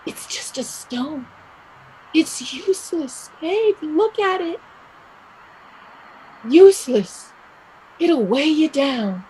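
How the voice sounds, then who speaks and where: Fields: Voice: high, expressive woman playing a headstrong young Irish emigrant. Delivery: animated. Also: takes it slowly, long pauses, slight background noise, low-quality recording. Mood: goading, insistent. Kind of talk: staged play reading, dramatic acting.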